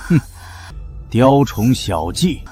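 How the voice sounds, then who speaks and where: A man's voice: medium pitch, sing-song, takes it slowly, music in the background. An actor playing a contemptuous, jeering nobleman who mocks a beggar. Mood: mocking.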